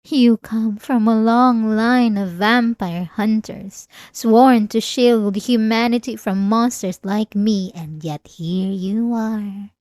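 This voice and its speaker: Slow, possessive vampire woman, acted by a woman. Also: fairly high pitch, darkish tone, no echo. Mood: accusing, mocking. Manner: slow, theatrical, seductive taunting with drawn-out pauses. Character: obsessive, possessive, manipulative centuries-old female vampire lover. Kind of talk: ASMR roleplay acting.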